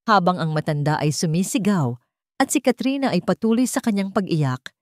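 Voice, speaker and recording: Low-pitched woman narrating a dramatic story. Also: darkish tone, dry recording, clean studio sound.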